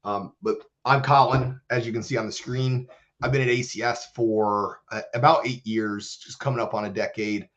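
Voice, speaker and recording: A man with a hoarse voice, recovering from illness, earnestly presenting in a church webinar. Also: mid-range voice, fairly even intonation, moderate pace, a bit bright. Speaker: church outreach manager. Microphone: video call. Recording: dull lo-fi webinar audio.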